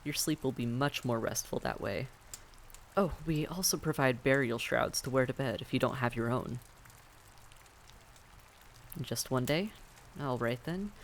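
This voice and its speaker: A man's voice: mid-range voice, a little slow, vocal fry, long pauses, a little hiss or hum. A man playing a friendly, reassuring vampire innkeeper in a fantasy audio roleplay, speaking courteously and conversationally to the listener. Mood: businesslike, friendly.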